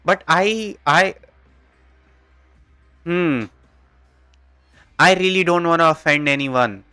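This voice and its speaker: A man's voice: on the high side, slow pace, sharp tone, clear, resonant tone, dry recording, faint music behind. A man with an Indian accent chatting casually with viewers on a gaming livestream, informal and hesitant, often repeating himself.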